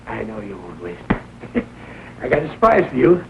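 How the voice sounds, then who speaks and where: An actor playing a bumbling small-town justice of the peace in 1940s film comedy style, by turns mock-solemn and jolly. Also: male voice, lowish voice, wildly swooping pitch, moderate pace, gravelly, full character voice. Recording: dull, noisy old film soundtrack.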